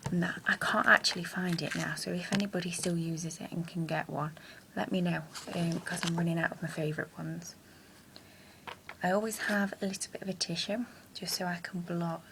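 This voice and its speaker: A young woman talks through a makeup tutorial in a low, gravelly, croaky voice. She rambles casually with fillers, recorded close on a camera mic in a small room at home with a faint hiss behind her. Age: young adult. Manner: chatty, rambling, with hesitant fillers. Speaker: makeup vlogger. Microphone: built-in camera mic at close range.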